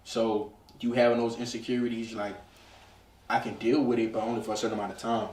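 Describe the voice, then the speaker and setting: A man's voice: lowish voice, flat delivery, fast, darkish tone. A nineteen-year-old man in a casual, slangy podcast conversation, speaking emphatically and repeating himself for emphasis. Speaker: podcast co-host. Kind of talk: casual group chat about relationships. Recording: echoey room, distant mic.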